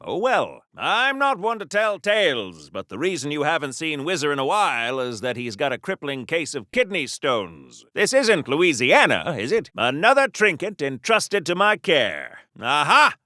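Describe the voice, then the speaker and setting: A man's voice: a little high, big pitch swings, normal speed, hardly any pauses, sharp tone, dry recording, studio quality. An actor playing a pompous, boastful masked superhero swordsman in a video game, in the theatrical, flowery manner of a 1940s radio serial hero. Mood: jaunty, arch. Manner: grandiloquent, melodramatic, arch, with flowery archaic phrasing.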